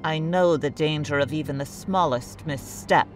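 A woman playing a sardonic, defiant former dark-side warrior in an audio drama, curt and insolent. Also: deep voice, moderate pace, close to an everyday voice. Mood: guarded, curt. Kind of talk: fan audio drama acting. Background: music.

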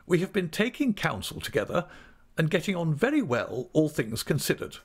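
A man's voice: on the high side, sing-song, normal speed, husky, pitched above the performer's own voice, full character voice. A man voicing a stern older aunt in an audiobook, blunt, curt and scolding. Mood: brisk, confident. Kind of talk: audiobook character acting.

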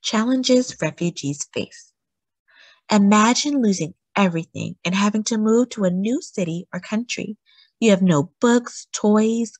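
Lively, breathy woman reading aloud. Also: mid-range voice, no room sound. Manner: slow, careful storybook reading with lively rises on key words. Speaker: children's book reader. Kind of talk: classroom read-aloud.

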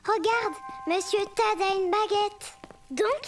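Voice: very high voice, slow pace. A performer voicing an eager, cheerful little boy bear cub in a sing-song childlike way for a preschool cartoon, with music behind. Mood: excited.

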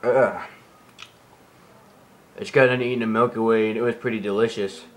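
A man's voice: on the low side, fairly even intonation, normal speed. A man doing casual, joking gameplay commentary in a hyper, goofy way as a let's play host. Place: room at home, beside a TV playing the game. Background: video game music from a TV.

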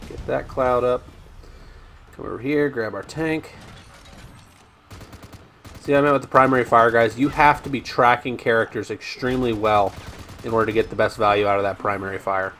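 Slightly raspy man reviewing a game. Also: mid-range voice, fairly even intonation, moderate pace, long pauses, a bit bright, a little hiss or hum. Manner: rambling, frequent ums and restarts, addresses viewers as guys. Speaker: gaming YouTuber. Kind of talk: casual gameplay commentary.

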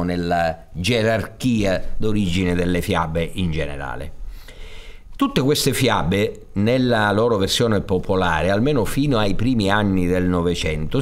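A man, introducing a show to an audience in an explanatory, discursive way with pauses. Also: low voice. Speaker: theatre director introducing a storytelling show. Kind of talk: spoken introduction to an audience, partly read. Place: indoor theatre stage.

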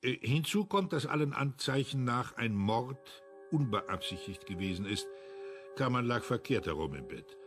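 A man's voice: mid-range voice, expressive, normal speed, faint music behind, close to an everyday voice. Clipped, analytical male investigator played by an actor. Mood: coolly analytical. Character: analytical, self-assured male investigator dictating a case. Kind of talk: German radio crime drama acting.

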